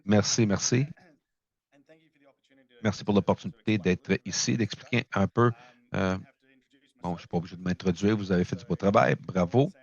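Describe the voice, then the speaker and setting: A man giving a conference talk over a video call, halting, with many fillers, speaking French as a second language. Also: deep voice, creaky, husky, highs cut off. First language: not French. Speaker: presenter at a launch event. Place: remote location, speaking in from afar.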